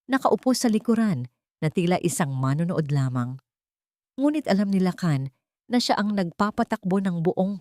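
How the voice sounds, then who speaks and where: A woman with a Filipino accent narrating a scripted dramatic story in measured, deliberate phrases. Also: fairly low pitch, a little mellow, dry recording, studio quality.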